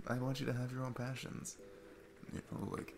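A man's voice: mid-range voice, little pitch movement, moderate pace, vocal fry, noisy background, natural voice. A man playing a sweet, nervous single dad in an intimate ASMR roleplay, rambling and hesitant in a casual, self-deprecating way. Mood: earnest, awkward.

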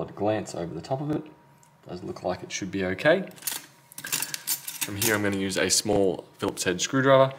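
Man, low-pitched, fry in the voice, casual tutorial delivery, matter-of-fact, faint background noise with tool clicks. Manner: matter-of-fact, talking while working with hands. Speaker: phone repair technician, tutorial host. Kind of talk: casual step-by-step repair tutorial. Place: indoor repair workbench.

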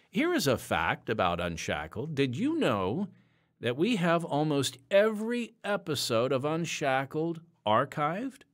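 A man hosting a podcast, reading announcements in an earnest, emphatic way. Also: medium pitch.

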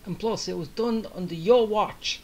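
A woman's voice: medium pitch, normal speed, darkish tone. A woman giving casual commentary as the host of a game playthrough.

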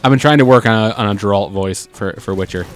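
A chatty man talking over a game session, quick asides and frequent laughs. Faint game music plays underneath his voice. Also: fairly low pitch. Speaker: let's play host. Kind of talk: casual gameplay chat.

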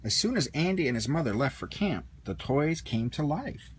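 A man narrating a children's storybook as an expressive read-along. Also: on the high side, normal speed, a little hiss or hum. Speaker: storybook reader.

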